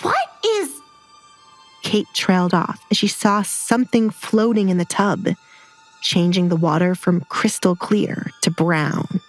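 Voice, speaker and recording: A woman's voice: lowish voice, fairly even intonation, a little slow, rough recording. A woman narrator reading a scripted, dramatic audio story with music behind her.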